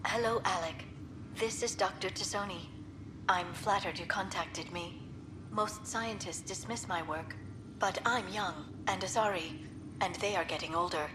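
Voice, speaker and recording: An actress playing a polite, scholarly young alien archaeologist in a video game, speaking in an earnest, formal and courteous way. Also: female voice, fairly low pitch, a little slow, noisy background, natural voice. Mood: gracious. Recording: music playing behind.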